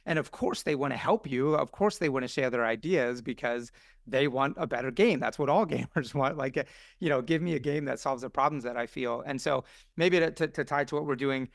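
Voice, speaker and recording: A man with a mid-pitched voice in casual podcast conversation, recorded close and dry. He speaks as an enthusiastic guest pitching his work, often tagging his points with a quick check for agreement. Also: creaky, no room sound. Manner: enthusiastic, punctuates points with "right?". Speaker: guest, game developer pitching a project.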